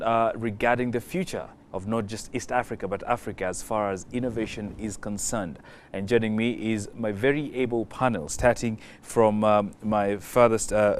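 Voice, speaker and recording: A man with a lowish voice moderates a broadcast panel discussion, speaking in a formal, measured way with frequent "uh"s. Faint background noise from the event hangs behind him. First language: not French.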